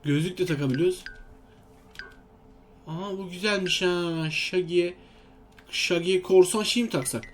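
High-pitched man commentating a game. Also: unhurried, pauses often, soft background music. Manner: exclamatory, talking to himself and viewers. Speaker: gaming video host. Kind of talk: casual let's-play commentary.

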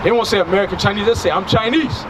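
A man's voice: sits high, fast talker. A man in his thirties preaching outdoors by a street into a handheld mic, emphatic and full of rhetorical questions. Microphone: handheld microphone. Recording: outdoor traffic noise. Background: passing cars.

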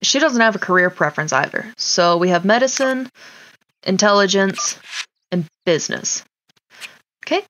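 A woman's voice: medium pitch, expressive, normal speed, pauses often, fairly bright tone, highs cut off, a little hiss or hum. A woman giving casual let's play commentary, chatting to the game's characters and muttering to herself with casual swearing. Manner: talks to the game characters, muttering to self. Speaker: gaming video host.

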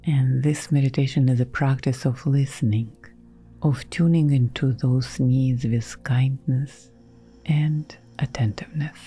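A woman guiding a relaxation meditation in a soft, breathy half-whisper, giving gentle, soothing instructions with long pauses. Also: a bass voice, steady tone. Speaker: meditation guide. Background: background music.

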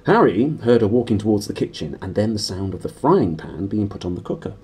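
A British man in his thirties reading a storybook aloud briskly and expressively to a camera in a small room. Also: on the low side, very animated, dark tone, husky. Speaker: reader. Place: small decorated room indoors.

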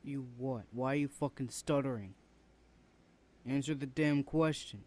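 Casting: male actor as young, confrontational big brother for audio roleplay; medium pitch, hostile, interrogating. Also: measured pace, darkish tone, lightly acted. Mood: suspicious. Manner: confrontational, swearing, demanding. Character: rude, hostile, protective older brother confronting an intruder. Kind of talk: ASMR roleplay acting.

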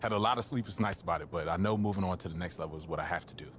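An actor with an American accent playing an earnest, easygoing young college basketball star in a video game, talking casually and a little hesitantly. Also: male voice, sits low, racing, a bit bright, slight background noise. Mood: sincere. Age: young adult. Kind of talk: naturalistic video game cutscene dialogue.

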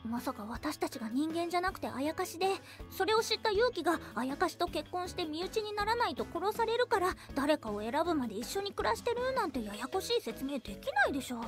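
A woman playing an assertive teenage schoolgirl in an anime audio drama, brisk and matter-of-fact. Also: extremely high pitch, big pitch swings, music in the background. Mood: exasperated.

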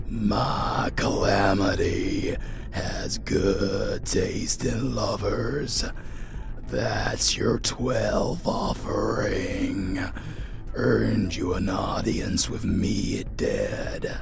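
Gravelly, savage vampire lord. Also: male voice, lowish voice, over music, exaggerated voice. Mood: savage relish. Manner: slangy, drawn-out, menacing. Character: savage, battered vampire lord, hungry, gleefully cruel. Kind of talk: dark roleplay audio drama.

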